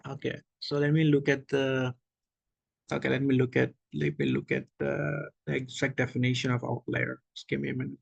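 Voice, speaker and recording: A man presents an online workshop over a video call, his mid-pitched voice carrying a gravelly edge. He explains step by step, dropping in okays and restarting his sentences. The sound is dry and close. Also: little pitch movement, normal speed, no room sound, highs cut off. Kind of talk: online lecture with questions.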